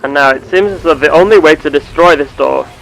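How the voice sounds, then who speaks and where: Man playing a gravelly, earnest space explorer. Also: on the high side, fairly bright tone, slight background noise, rough recording. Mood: earnest, curious. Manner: measured, formal, theatrical phrasing. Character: curious, earnest space explorer devoted to discovery. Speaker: gameplay host. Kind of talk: in-character narration of a sci-fi game roleplay.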